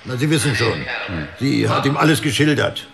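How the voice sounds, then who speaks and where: A man's voice: mid-range voice, normal speed, gravelly, music in the background, lightly acted. An actor in a radio drama playing a talkative, folksy cinema counter man, indignant and loyal.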